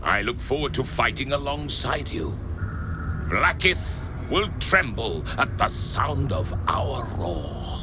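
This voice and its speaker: A man's voice: medium pitch, big pitch swings, takes it slowly, noisy background. An actor voicing a proud, ancient male dragon in a video game, solemn and defiant, speaking in grand declarations. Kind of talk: video game cutscene acting.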